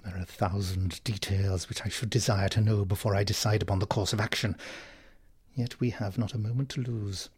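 An actor playing a sharp, decisive detective in an audio drama, speaking in a measured, deliberate way. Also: male voice, fairly low pitch, steady tone, breathy edge. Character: sharp, decisive male detective, middle-aged.

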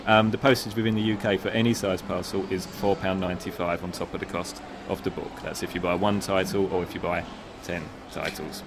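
Polite shop manager, noisy store. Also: male voice, low voice, steady tone, fairly quick. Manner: polite, slightly hesitant with fillers. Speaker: bookshop manager. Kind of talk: casual interview. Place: busy shopping centre store. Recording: noisy public space.

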